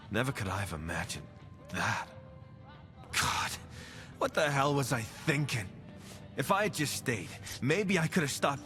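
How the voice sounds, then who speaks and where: Weary, brooding soldier played by a man, expressive. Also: fairly high pitch. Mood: grieving, regretful. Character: weary, guilt-ridden soldier companion, gruff but steady. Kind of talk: video game character dialogue.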